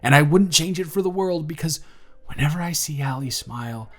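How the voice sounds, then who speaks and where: A man playing an earnest young single dad in his twenties, talking softly and a little flustered in an audio roleplay. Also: fairly high pitch, expressive, brisk. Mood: tender. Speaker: father confessing feelings to the babysitter.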